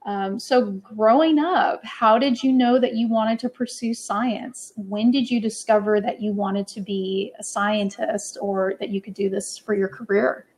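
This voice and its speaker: A woman's voice: mid-range voice, steady tone, measured pace, few pauses, a little mellow, rough recording. A woman interviewing a guest, friendly and encouraging. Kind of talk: interview questions.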